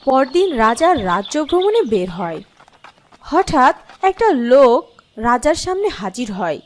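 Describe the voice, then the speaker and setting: High, expressive female storyteller. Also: slow pace, a little hiss or hum. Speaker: narrator. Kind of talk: scripted fairy-tale narration.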